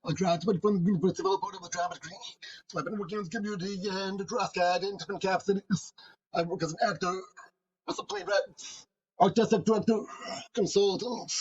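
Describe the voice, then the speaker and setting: A man's voice: high voice, darkish tone, slightly rough. A man in his forties from Edmonton, a deaf theatre artist, talking earnestly as a podcast guest and listing his work at length. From Edmonton, Canada. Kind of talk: interview conversation. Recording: slightly dull lo-fi audio.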